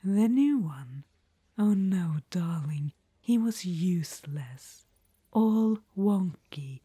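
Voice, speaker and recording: A woman's voice: fairly low pitch, warm tone, slightly rough, dry recording, heavily acted. A woman voicing an imperious, fond undead witch-queen in an ASMR roleplay, whispering throughout and calling the listener darling. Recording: dry close-mic whisper.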